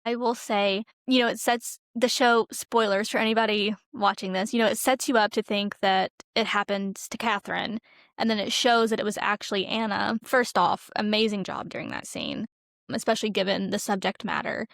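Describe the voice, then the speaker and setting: A woman's voice: medium pitch, steady tone, moderate pace, vocal fry, fairly bright tone, dry recording. Woman co-hosting a podcast, dry sound. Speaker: podcast co-host interviewing a guest. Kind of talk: casual interview chat.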